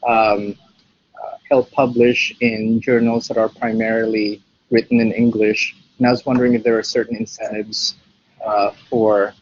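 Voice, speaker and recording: A man hosting an interview, asking questions in a flat, measured way. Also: fairly low pitch. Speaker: interview host. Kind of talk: moderating questions. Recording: dull lo-fi recording.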